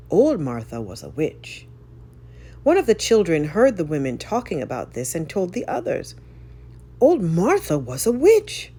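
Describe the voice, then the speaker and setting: A woman reading a folk tale aloud in an expressive, dramatic storytelling style. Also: deep voice. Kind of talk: expressive scripted story narration.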